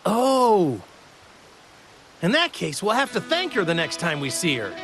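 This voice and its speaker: A man playing a cheerful, naive, good-hearted fighting hero, boyish and excitable, in an anime game dub. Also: high voice, unhurried, full character voice. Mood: earnest. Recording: loud music behind.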